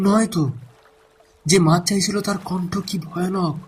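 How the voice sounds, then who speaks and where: A man's voice: high-pitched, steady tone, moderate pace, slight background noise, lightly acted. A man voicing a worried, bewildered village fisherman in a cartoon, with a hesitant manner. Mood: troubled. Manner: hesitant, repeating words.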